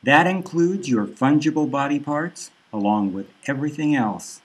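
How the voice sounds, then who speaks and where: A man voicing a cold, menacing female bank loan officer who lays out threats in a flat, businesslike way. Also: fairly high pitch, normal speed, breathy edge, voice pushed up. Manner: cool, threatening, matter-of-fact.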